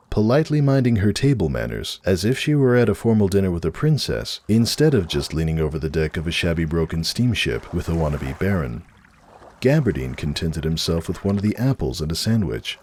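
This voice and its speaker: A man narrates a story in a very low voice. His reading is scripted and dramatic, with a lot of movement in the pitch from phrase to phrase. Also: darkish tone, a little hiss or hum. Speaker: narrator reading a fan fiction story aloud.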